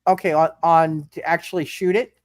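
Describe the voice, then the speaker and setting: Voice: mid-range voice, steady tone, normal speed, no room sound, clean studio sound. A game master running a live tabletop roleplay, thinking aloud and often repeating words and phrases while narrating and making rulings.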